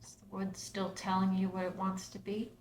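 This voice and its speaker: An actress reading the part of a troubled grown daughter at a screenplay table read. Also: female voice, mid-range voice, completely flat delivery, moderate pace, darkish tone, a little hiss or hum, close to an everyday voice. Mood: subdued. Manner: emotional, pleading. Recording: echoey room.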